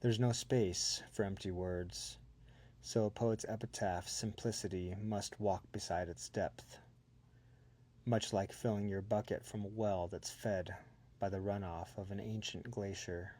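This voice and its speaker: Slow, low-voiced man reciting poetry. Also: steady tone, mellow. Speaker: poet reading own work.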